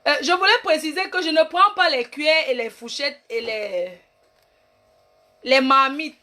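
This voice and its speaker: A woman's voice: high-pitched, a little slow, bright, forceful tone. A woman playing a brash, boastful self-styled queen mother in a rambling comic monologue. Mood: bossy. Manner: bossy, rambling, mock-grand, trash-talking. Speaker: comic online personality. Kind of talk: comic live-stream monologue.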